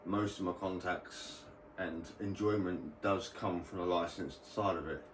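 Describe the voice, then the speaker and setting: A man's voice: deep voice, steady tone, unhurried. A British man giving a casual, rambling explainer as the host of a hobby video channel. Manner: informal, rambling. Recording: roomy, distant mic, faint background noise.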